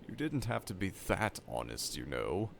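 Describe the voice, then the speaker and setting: A man's voice: low voice, sing-song, moderate pace, vocal fry, slight background noise, exaggerated voice. A man voicing a vain, needy middle-aged evil scientist with pompous, formal, melodramatic diction in a fan audio roleplay. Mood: dejected, self-pitying. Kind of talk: fan audio roleplay monologue addressed to listener. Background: laboratory ambience.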